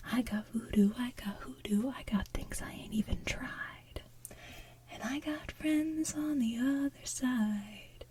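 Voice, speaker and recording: A woman voicing a sly male voodoo con-man from an animated musical, softly half-singing in a slow, coaxing, breathy delivery. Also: high voice, slight background noise, voice pushed up. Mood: sly, boastful. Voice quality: soft, breathy, half-whispered. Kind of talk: softly sung animated musical villain song.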